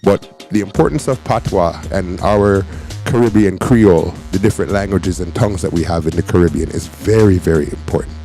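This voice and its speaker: A Jamaican-born man raised in Canada hosts a reaction show, speaking with a Canadian accent with Jamaican touches. His voice is very low, dark and gravelly, and he stresses points by repeating them. Faint music plays behind. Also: brisk. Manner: emphatic, repeats phrases for stress. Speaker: host. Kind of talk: reaction commentary.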